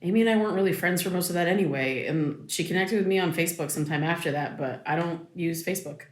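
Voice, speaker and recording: Casting: actress for exasperated, worn-out young woman, slightly low voice, guarded casual delivery, roomy echo, audio drama. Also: lightly acted. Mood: hesitant. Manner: halting, casual, defensive. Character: exhausted, guarded, exasperated young adult daughter. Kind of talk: naturalistic audio drama dialogue. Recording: echoey room.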